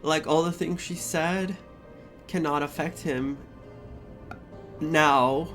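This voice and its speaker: A woman streamer giving casual, emotionally invested live commentary on a story game, halting and repeating herself as she goes. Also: low-pitched, faint music behind.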